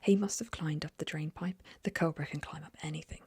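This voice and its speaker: A woman voicing a brisk male chief of police in a breathy whisper. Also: low-pitched, fairly even intonation, a little mellow. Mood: explaining. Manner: whispered, matter-of-fact.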